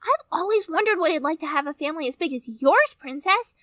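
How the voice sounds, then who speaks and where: A very high, swooping child's voice, recorded close and dry. The cartoon kid is plucky and headstrong, with a cheeky streak. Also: female voice, lively intonation, fast, bright, forceful tone, dry recording, exaggerated voice. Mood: wistful. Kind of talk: animated cartoon acting.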